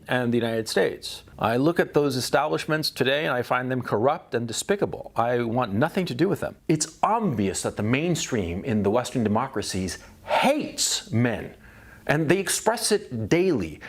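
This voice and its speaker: A middle-aged man from Chile giving a blunt, profane opinion monologue, often repeating words as he starts a thought. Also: medium pitch. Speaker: commentator.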